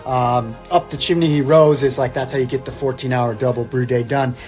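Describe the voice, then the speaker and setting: A man's voice: mid-range voice, fairly even intonation, brisk, darkish tone. A man reciting a poem theatrically and breaking into casual, irreverent joking asides. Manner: wry, irreverent, theatrical when reading verse. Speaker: guest reader, comedic poem reciter. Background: instrumental Christmas music.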